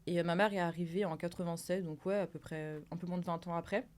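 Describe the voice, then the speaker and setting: A young woman from Paris, speaking calmly and reflectively on a round-table podcast. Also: lowish voice, fast talker. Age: young adult. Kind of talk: casual round-table chat.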